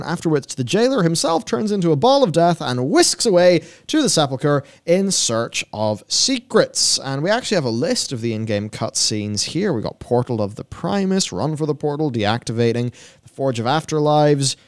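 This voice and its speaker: Man, animated intonation, offhand dry humour, casual gaming commentary host, dry recording with no room echo. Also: a little high, moderate pace. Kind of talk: casual video game news reaction and commentary.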